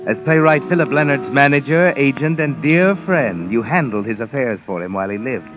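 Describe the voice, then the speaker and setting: A man's voice: medium pitch, expressive, a little fast, lightly acted. Guy playing creepy knowing radio narrator, sardonic, muffled 1950s recording w/ music under him. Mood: sardonic, accusing. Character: ominous all-knowing male narrator addressing a guilty man.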